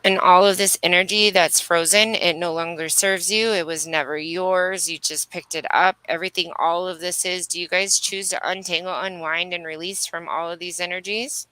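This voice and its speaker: A woman leads a spiritual healing session in a bright, forward voice that sits a little low. She repeats short phrases like an incantation as she guides the breathing. The sound is very dry, with no room around it. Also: steady tone, moderate pace, hardly any pauses, clear, resonant tone, no echo, poor audio. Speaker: spiritual medium and energy healer leading a livestream session.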